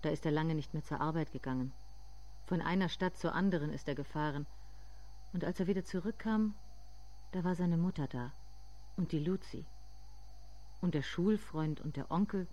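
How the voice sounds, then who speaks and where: Woman acting somber radio play narrator, dark mellow female voice, measured phrases, slightly muffled sound. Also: low voice, natural voice. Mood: quiet, reflective. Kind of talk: literary radio drama narration in the second person.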